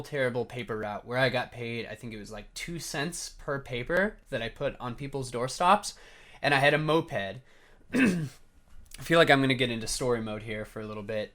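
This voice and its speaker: A man's voice: medium pitch, bright, forceful tone. A young man with a slightly scratchy voice, vlogging in a casual, storytelling way as he answers viewer questions. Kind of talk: casual chat to camera with storytelling.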